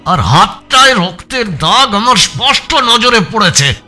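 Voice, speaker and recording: Casting: male audiobook narrator, higher-pitched and lively, suspenseful first-person storytelling over soft music. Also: a little slow. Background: background music.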